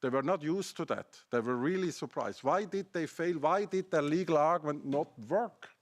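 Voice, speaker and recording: A man's voice: on the high side, normal speed. A middle-aged man with a German accent giving a seminar presentation, building his case through pointed rhetorical questions. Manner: argumentative, asks rhetorical questions. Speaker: academic speaker.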